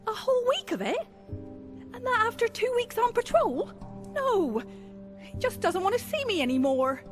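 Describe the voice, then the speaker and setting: A woman's voice: extremely high pitch, big pitch swings, moderate pace, soft background music. A woman voicing a fretful young townswoman who misses her sweetheart, in a video game. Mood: fretful, pleading. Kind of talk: video game cutscene acting.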